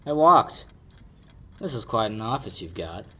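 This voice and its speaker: A young actor playing a sardonic, pushy lawyer in a 1940s-style radio detective play. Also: male voice, fairly low pitch, big pitch swings, a little slow, bright, forceful tone, full character voice. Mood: cool, accusing. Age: young adult. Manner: wisecracking, hard-boiled. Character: sardonic, pushy male lawyer turned investigator. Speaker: high school actor.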